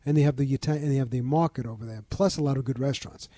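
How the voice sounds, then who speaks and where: An older man from the Bronx, a museum founder explaining things in a measured, informative way as an interview guest. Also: mid-range voice, mellow, no room sound. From the Bronx, New York. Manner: measured, informative, lists details. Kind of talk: interview conversation.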